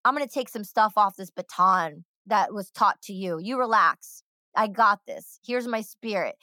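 A woman's voice: mid-range voice, normal speed, sharp tone, dry recording, clean studio sound. A woman hosting a podcast, talking enthusiastically and emphatically in a rambling, casual way. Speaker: podcast host. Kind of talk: casual interview chat.

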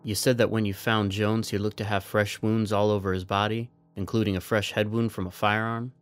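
A man playing a resigned, trapped soldier in an audio drama, speaking in a measured, earnest, persuasive way. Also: fairly low pitch, level tone, smooth, lightly acted. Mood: grave, deliberate.

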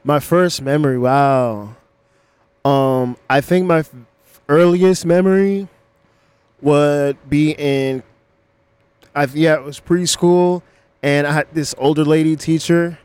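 A man from Los Angeles, a guest in a casual interview, recalling his early memories in a relaxed, reflective way full of "like" and "yeah". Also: fairly high pitch, slow, long pauses, clear, resonant tone, no echo.

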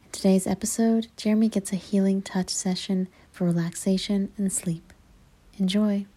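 A breathy woman with a soft, mellow voice guides a relaxation session in ASMR style. Her delivery is very even and gentle, often slipping into a whisper. She sounds soothing and unhurried throughout. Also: medium pitch. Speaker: ASMR practitioner and show host.

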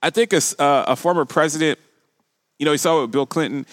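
A middle-aged man hosting a casual conversational interview, speaking haltingly and repeating words as he thinks. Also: fairly high pitch, no room sound, clean studio sound. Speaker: podcast host.

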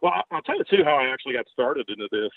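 A man's voice: medium pitch, sing-song, rapid-fire, no room sound. An older man from Baltimore with a light Southern accent, owner of a ghost tour business, talking in a folksy, rambling way with frequent chuckles. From Baltimore, Maryland. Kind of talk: podcast interview over a call. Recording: tinny phone-like line.

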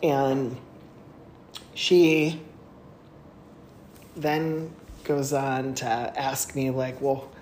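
A woman co-hosting a casual chat show, retelling a story with lively, laughing asides and sudden high exclamations. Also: drawn-out words, long pauses. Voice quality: laughs often while talking. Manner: animated retelling, voice jumps high when excited. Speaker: podcast co-host. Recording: echoey room with faint noise.

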